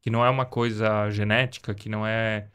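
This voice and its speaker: Smooth-voiced man, earnest storyteller. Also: fairly low pitch, flat delivery, fairly quick, fairly bright tone. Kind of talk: casual conversation.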